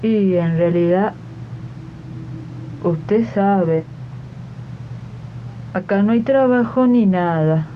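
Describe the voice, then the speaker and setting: Casting: woman to play a hard-up country mum, halting and resigned, slow delivery, muffled archive radio drama sound. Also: mid-range voice, a little hiss or hum. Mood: hesitant, resigned. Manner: hesitant, resigned. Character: poor, resigned, hesitant country mother. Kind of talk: 1980s radio drama acting.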